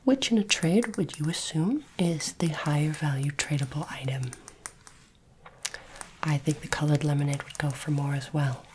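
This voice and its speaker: A woman doing a soft-spoken, whispered ASMR roleplay as a polite, formal alien interviewer, with deliberate phrasing. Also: low-pitched, background noise.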